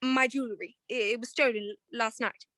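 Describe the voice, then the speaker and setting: A woman's voice: on the high side, steady tone, normal speed, fairly bright tone, no room sound, studio quality, lightly acted. A woman playing an anxious, genteel married client in a 1940s-style detective radio play, pleading with many stammered hesitations. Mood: flustered, pleading.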